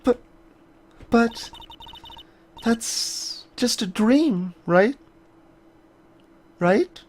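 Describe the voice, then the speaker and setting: A woman's voice: on the high side, expressive, very slow, a little mellow, slight background noise. A woman voicing an earnest, concerned companion in a mystery game, questioning and hesitant. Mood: worried. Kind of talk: voiced reading of game dialogue.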